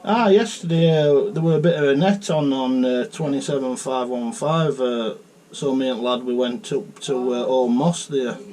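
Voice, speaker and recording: A man with a South Yorkshire accent chatting casually and haltingly as a radio operator, full of technical jargon. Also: medium pitch, a little mellow.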